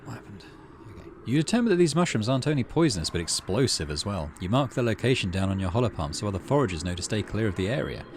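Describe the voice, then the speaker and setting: A man doing a casual video game playthrough, reading story text aloud in measured narration with muttered asides. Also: on the low side, very animated. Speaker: gaming channel host. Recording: noticeable background noise.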